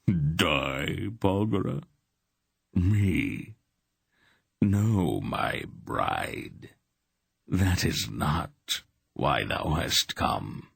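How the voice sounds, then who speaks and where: A man voicing a menacing ancient male dark god in an audiobook, with a rasping voice and slow, drawn-out, purring menace. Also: deep voice, lively intonation, dry recording, studio quality, exaggerated voice. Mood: gloating menace. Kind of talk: audiobook character voice.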